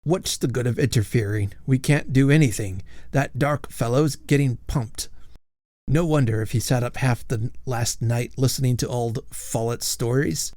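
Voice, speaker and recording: A man's voice: mid-range voice, moderate pace, darkish tone, dry recording, clean studio sound, close to an everyday voice. A man voicing Sir Hugo, a blustering, chivalrous old male ghost knight who growls his lines. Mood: gruff. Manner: growling, gruff.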